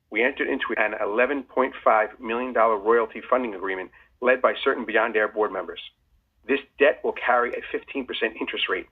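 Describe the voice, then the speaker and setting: A man in the role of company executive gives a scripted earnings call presentation in a formal, measured way. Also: medium pitch, breathy edge. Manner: formal, measured reading of prepared remarks. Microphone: telephone conference call. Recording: tinny phone line with faint noise.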